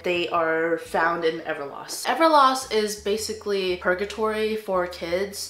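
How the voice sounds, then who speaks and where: A woman's voice: mid-range voice, a bit bright. A young woman talks through her recent reads in an easy, chatty way, and her blocked nose makes her sound nasal. She leans on words like "really, really" for emphasis. The room around her has a noticeable echo. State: stuffy nose, nasal from allergies. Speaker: book vlogger. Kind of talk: casual book review chat. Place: living room with bookshelves, indoors.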